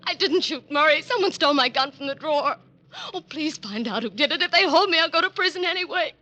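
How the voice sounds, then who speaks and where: A woman's voice: high voice, expressive, quick pace, sharp tone. A woman playing a proud, emotional young society lady in an old radio melodrama, dramatic and imperious. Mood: pleading. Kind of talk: 1940s-50s radio melodrama acting.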